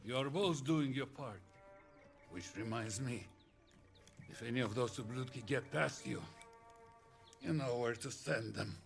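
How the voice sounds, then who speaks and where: An actor playing a proud, gruffly loving old father with a Russian accent in a cinematic war game. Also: mid-range voice, unhurried, pauses often, music in the background. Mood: firm. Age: older. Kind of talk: cinematic video game acting.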